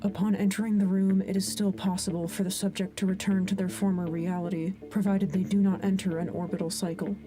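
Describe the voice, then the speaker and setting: A woman's voice: medium pitch, flat delivery, brisk, few pauses, over music, lightly acted. An actress playing a sober female researcher in an audio drama, formally reading out a clinical case file.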